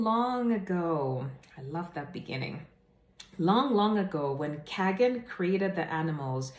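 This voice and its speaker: A woman hosting a children's read-aloud, telling stories to the viewer in an expressive, deliberate and emphatic way. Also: lowish voice. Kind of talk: animated read-aloud storytelling to camera. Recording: echoey room.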